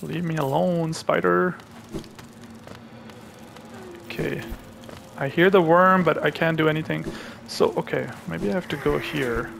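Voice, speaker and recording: A young man from Pakistan, hosting a gaming channel, who chats to viewers and thinks aloud as he plays, with excitable reactions. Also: fairly high pitch, unhurried, vocal fry, long pauses, background noise. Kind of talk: live gameplay commentary.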